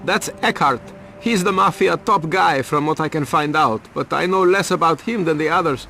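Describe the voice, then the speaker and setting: A man's voice: fairly high pitch, moderate pace, creaky, pushed and bright, faint music behind. An actor playing a cagey, self-important, money-minded reporter turned informant in a video game, evasive as he talks. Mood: defensive, haggling. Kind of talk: video game cutscene acting.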